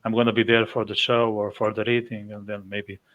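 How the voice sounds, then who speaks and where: A man's voice: lowish voice, little pitch movement, fairly quick, fairly bright tone, dull audio. A man hosting a podcast interview, speaking hesitantly with frequent fillers and restarts. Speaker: podcast host. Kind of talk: casual interview.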